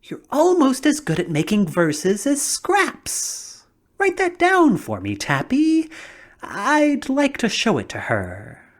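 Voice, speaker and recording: Weary old straw emperor, slow and sighing, often breathy, swinging widely in pitch, as a woman reader plays him. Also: wildly swooping pitch, full character voice. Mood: delighted. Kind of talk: storybook reading.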